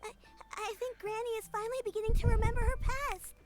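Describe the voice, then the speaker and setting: A squeaky excitable fairy companion. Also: female voice. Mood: eager. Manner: chirpy, eager, quick questions. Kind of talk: video game cutscene dialogue. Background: game music.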